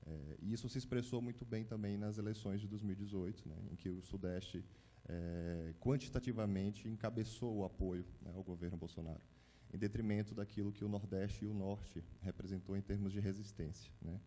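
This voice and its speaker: A man's voice: lowish voice, fairly quick, dark tone, a little hiss or hum. A young man from Salvador, earnestly asking a lecturer a question from the audience and tagging his sentences with "né". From Salvador, Bahia, Brazil.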